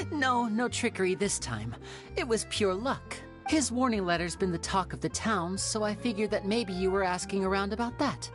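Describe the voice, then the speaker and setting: A woman playing a suave, theatrical young male stage magician in an anime game, smooth and showmanlike. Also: mid-range voice, expressive, normal speed, natural voice. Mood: playful, confident. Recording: music playing behind.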